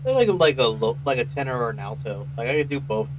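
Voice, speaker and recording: A man's voice: mid-range voice, expressive, fast talker. A man chatting casually during a game stream, rambling and often restarting his sentences. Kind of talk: casual chat during gameplay. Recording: noisy with hum.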